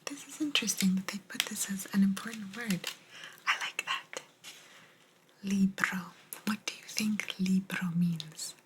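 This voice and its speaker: A woman giving a language lesson in a soft, breathy ASMR whisper, gently repeating words. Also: medium pitch, heavy background noise. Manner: slow, gentle repetition of words. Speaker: host teaching Italian vocabulary.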